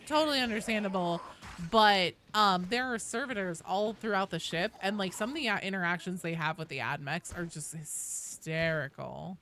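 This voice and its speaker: A woman streaming a video game in a casual, rambling way. She swears freely and talks at medium pitch. There is clear game noise behind her voice. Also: sharp tone. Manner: casual, profane, discursive. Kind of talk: casual livestream gaming chat.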